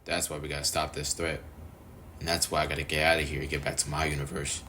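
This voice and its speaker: A man voicing an earnest, guarded young male devil hunter from another universe, delivering animated-story dialogue. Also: extremely low pitch, quick pace, slight background noise. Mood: somber, resolute. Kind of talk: animated-series character dialogue, recorded in repeated takes.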